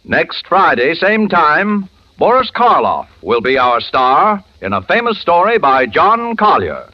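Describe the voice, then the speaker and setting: A man announces in grand 1940s radio style. His fairly high voice moves slowly and deliberately, with dramatic swings in pitch, over music on a vintage broadcast. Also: sing-song, no room sound, highs cut off.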